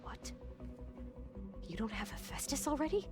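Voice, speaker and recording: A woman's voice: sits high, big pitch swings, moderate pace. An actress playing an anxious, defensive young clone woman in a video game, with clipped, formal, precise wording. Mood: alarmed, accusing. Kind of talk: video game dialogue acting. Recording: music behind the voice.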